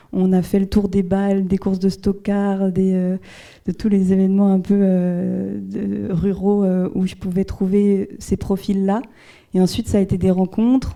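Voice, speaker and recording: Flat, dark-voiced woman director. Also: mid-range voice. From Jura, France. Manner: hesitant, many fillers and restarts, thoughtful. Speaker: film director. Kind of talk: answering audience questions.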